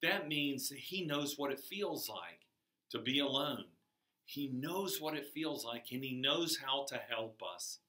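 An older man giving a chapel sermon to children in a classroom, speaking slowly and emphatically, his voice rising on key words. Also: medium pitch, animated, pushed and bright. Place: classroom with a whiteboard, indoors. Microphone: camera across the room. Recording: echoey classroom from far off.

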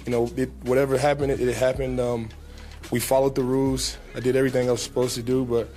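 A young man, a pro football player, answering reporters at a press conference in a relaxed, casual way, with many fillers and repeated words. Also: medium pitch, quick pace. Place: team press room, indoors. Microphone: table mic in front.

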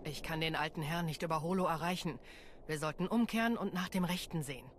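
A woman's voice: sits low, quick pace, slight background noise, lightly acted. An actress playing a tough, battle-hardened female Jedi warrior in a video game, terse, dry and matter-of-fact. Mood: businesslike. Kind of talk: video game cutscene acting.

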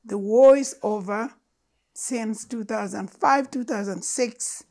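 An older woman speaks slowly and earnestly to a gathered audience, leaning on key words for emphasis. Her voice sits a little high, and she lets each phrase land before moving on. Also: darkish tone. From Uganda. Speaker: storyteller speaker. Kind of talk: storytelling talk to an audience. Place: living room with stone fireplace, indoors. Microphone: lapel mic.

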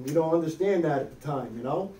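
A man's voice: on the high side, expressive, a little fast, dark tone, a little hiss or hum. Man preaching from far in an echoey hall. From United States. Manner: conversational preaching, frequent "okay?" and "amen?" checks. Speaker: pastor. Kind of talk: sermon. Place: small church hall with wood-paneled wall. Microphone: far camera, no visible mic.

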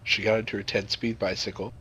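A man's voice: lowish voice, little pitch movement, fast, sharp tone, slightly rough. A man improvising a crude comic story to a group, swearing freely and rambling in a mock-serious way. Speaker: storyteller, host.

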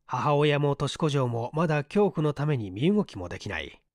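A man narrating a story for an audiobook. His voice sits a little high and moves widely in pitch. The sound is dry, with hardly any room. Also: dry recording. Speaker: audiobook narrator, stage actor. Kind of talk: scripted literary narration.

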